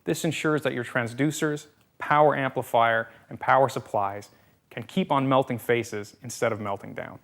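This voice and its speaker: Gravelly man narrating a product promo. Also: medium pitch, fairly even intonation, moderate pace, fairly bright tone, slight background noise. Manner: polished salesy delivery with punchy quips. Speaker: product presenter.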